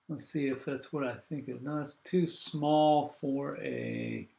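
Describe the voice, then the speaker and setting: Congested older man with gravelly voice. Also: mid-range voice, steady tone, darkish tone, echoey room. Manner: halting, many fillers, thinks aloud while clicking. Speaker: university lecturer. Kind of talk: online class lecture over video call. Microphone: video call.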